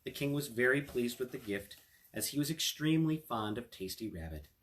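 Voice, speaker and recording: A man's voice: medium pitch, expressive, fairly quick, fairly bright tone. A man reading a fairy tale aloud as a storyteller, with casual asides to his listeners. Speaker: storyteller, attorney.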